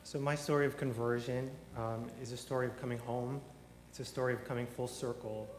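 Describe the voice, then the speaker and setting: A man's voice: mid-range voice, fairly even intonation, a little fast, slight background noise. A man speaks at a lectern in a large sanctuary, loose and rambling, full of ums, restarts and dry little jokes. The room is echoey with a long reverb, and faint ambience sits underneath. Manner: rambling, many ums and restarts, wry humor. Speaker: congregant telling his conversion story. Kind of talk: informal testimony at a service.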